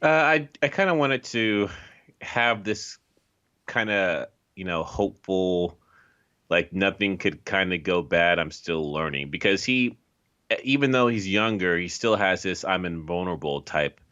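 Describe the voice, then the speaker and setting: Low-voiced man on a dull, lo-fi track. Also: lively intonation, measured pace, pushed and bright, clean tone. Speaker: interview guest, voice actor. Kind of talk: casual chat.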